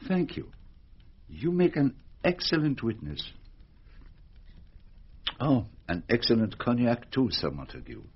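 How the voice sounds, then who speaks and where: An actor playing a courteous, probing Belgian private detective with a French-speaking Belgian accent, polite and precise in a radio drama. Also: male voice, medium pitch, huge pitch swings, husky, full character voice. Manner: polite, precise, measured questioning. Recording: dull, lo-fi radio drama recording.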